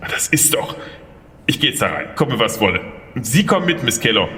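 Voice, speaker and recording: An indignant male lawyer acted by a man in an audio drama, with a husky mid-range voice. His pitch swings widely as he insists and protests in exasperation. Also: sing-song, a little fast, pushed and bright, full character voice. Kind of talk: German audio drama acting.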